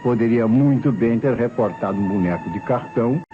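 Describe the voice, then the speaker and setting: An actor playing an eager, opinionated junior police detective in an old Brazilian radio mystery, with a Brazilian accent. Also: male voice, mid-range voice, a little fast, close to an everyday voice. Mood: insistent, skeptical. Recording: muffled old radio recording, faint music.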